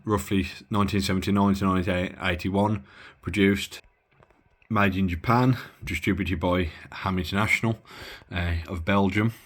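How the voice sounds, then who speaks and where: A man giving a casual, rambling bench-test walkthrough of an old radio, often pausing and correcting himself. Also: deep voice, steady tone. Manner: rambling, self-correcting, lots of filler. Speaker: radio hobbyist reviewing gear.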